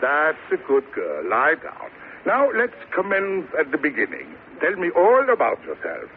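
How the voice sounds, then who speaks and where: An excitable, flustered radio-comedy psychiatrist. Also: male voice, fairly high pitch, moderate pace. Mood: coaxing, flustered. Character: chummy, easily flustered male psychiatrist. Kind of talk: 1940s-50s radio sitcom acting. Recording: noisy old-time radio, tinny, audience.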